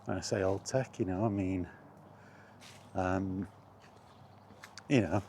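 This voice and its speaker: A middle-aged man in an unhurried, halting, reflective solo chat as a gaming vlogger. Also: sits low, fairly even intonation, vocal fry, dark tone, a little hiss or hum. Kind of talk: rambling casual chat about video games.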